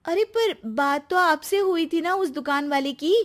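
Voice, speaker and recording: A woman playing a trusting, eager young wife in an animated moral story, expressive and quick to protest. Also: extremely high pitch. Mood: regretful.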